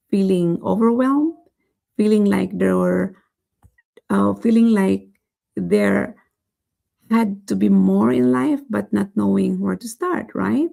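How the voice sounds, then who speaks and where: A woman vlogger giving a motivational talk to her viewers, earnest and repeating "right?", her voice going hoarse. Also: mid-range voice, dark tone. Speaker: vlogger hosting a daily challenge.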